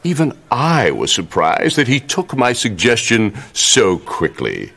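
An actor playing a scheming middle-aged royal advisor in a campy video game cutscene, mock-polite and gloating with a theatrical drawl. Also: male voice, medium pitch, huge pitch swings, a little slow, creaky, a bit bright, slightly rough, full character voice. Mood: smug.

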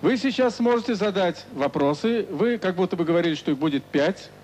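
Formal middle-aged TV presenter. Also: male voice, high voice, animated, darkish tone, slight background noise, rough recording. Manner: formal, measured. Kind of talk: broadcast moderation. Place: large TV studio with audience. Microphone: handheld.

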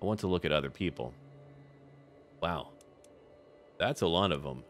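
A man's voice: low-pitched, animated, normal speed. A man giving casual, in-character commentary on a strategy game, thinking aloud in short remarks as if talking to the characters. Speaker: gaming video host. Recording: music behind the voice. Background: background music.

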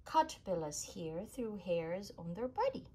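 A woman reading a children's science book aloud in an animated storybook manner, with emphasis for young listeners. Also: medium pitch, unhurried. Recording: clearly noisy home recording.

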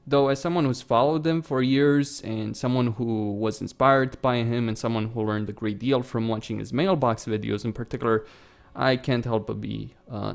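A man's voice: mid-range voice, vocal fry. A man with a Romanian accent, a gaming YouTuber talking bluntly and swearing freely in casual commentary over gameplay.

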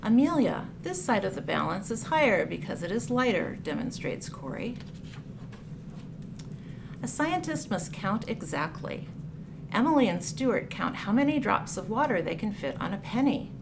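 A woman reading a picture book aloud for children, with deliberate, clearly phrased storytelling delivery. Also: medium pitch, very animated. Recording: clear background noise, room ambience.

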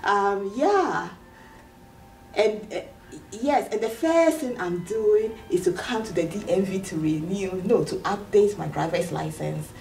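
A woman's voice: medium pitch, lively intonation, a little slow, vocal fry, a little mellow. A middle-aged woman with a Ghanaian accent acting an eager, apologetic immigrant in an amateur comedy sketch, gushing and stumbling over her words. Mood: elated, gushing. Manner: gushing, hesitant restarts. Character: excitable, eager, apologetic immigrant woman with a new green card. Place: indoor office waiting room and counter. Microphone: far camera. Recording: roomy, distant camera mic with hiss.

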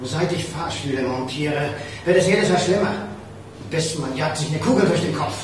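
Schauspieler als murrender Monteur, hallig. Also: male voice, a little high, normal speed, natural voice. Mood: griping. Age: 50s. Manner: gruff, griping. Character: grumbling, aggrieved lift fitter of fifty-three. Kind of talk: radio drama acting. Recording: echoey, distant, faint background noise.

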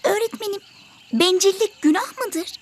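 A woman's voice: very high-pitched, normal speed. A woman voicing a small, selfish, stubborn bird pupil in an educational cartoon, whiny and argumentative. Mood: self-pitying.

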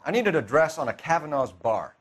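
An American man playing a sharp, businesslike 1940s police detective in a video game, speaking in clipped, matter-of-fact remarks. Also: a little high, very animated, brisk, a little hiss or hum. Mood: brisk, official.

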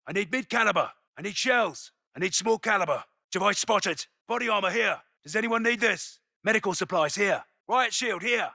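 An actor playing a tough, focused British special forces soldier in a military video game, giving clipped, commanding combat callouts. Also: male voice, high voice, creaky, bright, forceful tone, no room sound, clean studio sound, exaggerated voice. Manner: clipped, commanding, terse radio-style callouts.

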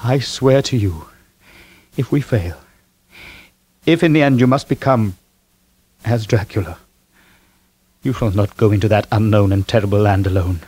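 An actor playing a devoted, anguished young Victorian husband, emotional and pleading, in a 1970s radio drama. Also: male voice, fairly low pitch, moderate pace, long pauses, a little mellow, husky.

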